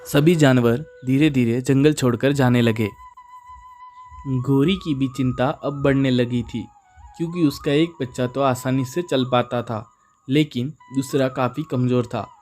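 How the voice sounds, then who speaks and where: A man's voice: medium pitch, steady tone, unhurried, a little mellow. A man narrating a scripted children's animal story as its storyteller. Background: music.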